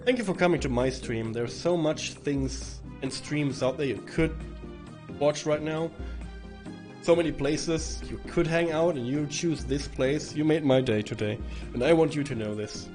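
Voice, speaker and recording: A man with a hoarse, rough voice from bronchitis, chatting casually and rambling to stream viewers while playing a game. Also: fairly high pitch, unhurried. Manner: rambling, chatty, swearing, with frequent restarts. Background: faint game music.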